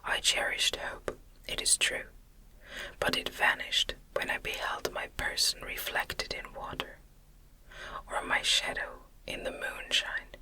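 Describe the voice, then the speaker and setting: A woman reading a gothic novel as an ASMR narrator in a gentle, breathy, unvoiced whisper, close to the microphone. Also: rough recording. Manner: gentle, deliberate literary reading. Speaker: audiobook narrator.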